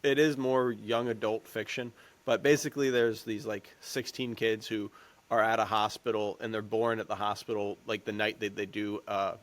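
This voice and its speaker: A man's voice: lowish voice, level tone, normal speed. A man co-hosting a podcast, talking in a casual, rambling, informal way. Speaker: podcast co-host. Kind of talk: casual discussion.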